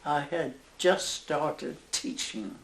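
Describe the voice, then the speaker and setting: A woman over a hundred years old with a Northern Irish accent, a nun slowly recalling her life in an oral history interview, halting and with soft laughs. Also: deep voice, lively intonation, reverberant, low-quality recording. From Northern Ireland. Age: over 100.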